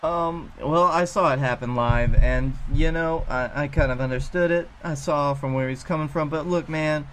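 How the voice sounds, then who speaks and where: A man playing a laid-back wrestling co-commentator, speaking casually in a drawn-out deadpan. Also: a little high, slight background noise, lightly acted. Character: laid-back male wrestling co-commentator giving corny moral advice.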